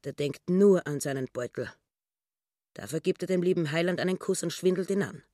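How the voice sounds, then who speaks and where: A man's voice: a little high, brisk, warm tone, dry recording, clean studio sound, natural voice. A man acting in a radio drama, voicing a pious woman's simple, indignant thoughts in an emphatic, naive, moralizing way. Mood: scornful.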